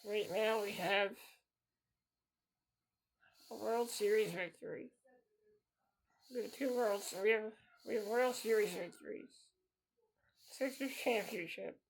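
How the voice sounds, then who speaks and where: Man, 30s, podcast host, gravelly, earnest repetitive monologue. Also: sits high, slow, long pauses, poor audio. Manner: earnest and repetitive, circling back to the same phrases.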